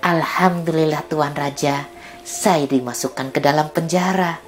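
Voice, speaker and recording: A man voicing a loyal, pious guard who answers his king calmly and patiently. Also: on the high side, unhurried, faint music behind, voice pushed up, lightly acted. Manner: calm, gently reassuring.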